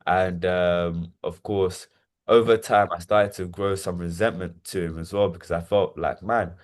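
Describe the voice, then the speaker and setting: A man's voice: low-pitched, fairly even intonation, a little slow. A young man, telling his life story in an interview, hesitant and full of fillers and repeated words. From Nigeria. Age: 20s. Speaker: interview guest. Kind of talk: reflective personal testimony.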